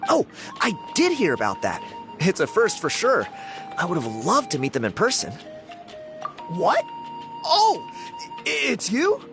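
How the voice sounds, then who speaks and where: A vain, chuckling young dancer. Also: male voice, sits high, huge pitch swings, a little slow, pauses often, heavily acted. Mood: delighted. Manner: boastful, chuckling. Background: game music.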